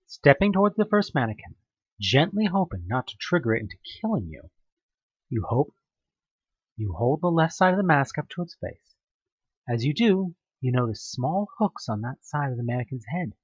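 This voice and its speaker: A young man narrates a creeping horror story in the second person, his voice swinging up and down to build tension. The tone is a little husky, and the recording sounds dull and lo-fi in a dry, close space. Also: mid-range voice, dry recording. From Houston, United States. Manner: tense, suspenseful storytelling with occasional slips.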